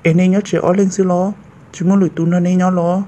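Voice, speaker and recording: Man playing mellow, higher-pitched cartoon man. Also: little pitch movement, a little fast, close to an everyday voice. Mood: conversational. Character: mellow male character in a family folk drama cartoon. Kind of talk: cartoon voice acting, dramatic dialogue.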